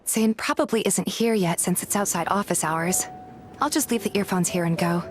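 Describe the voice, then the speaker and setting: A woman playing a curious, polite young game heroine, narrating in the first person and speaking her dialogue in an earnest, gentle manner. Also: medium pitch, normal speed, a little hiss or hum, lightly acted. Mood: earnest, reflective.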